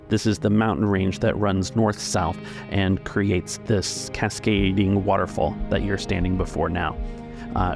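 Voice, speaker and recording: A man acts as game master with a low voice full of gravelly fry. He lays out the story in a measured, explanatory way. Music plays clearly underneath him. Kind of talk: narrating a tabletop roleplaying game. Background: background music.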